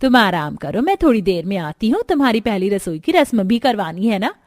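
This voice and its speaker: A woman's voice: medium pitch, sing-song, brisk, a little mellow, no room sound, clean studio sound, lightly acted. A woman voicing a middle-aged, bossy but affable mother-in-law in a cartoon.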